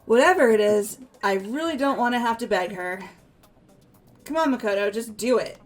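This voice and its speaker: A woman's voice: on the high side, expressive, moderate pace, faint music behind, natural voice. A woman voicing a frank, exasperated teenage girl in an amateur playthrough of a visual novel game. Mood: coaxing.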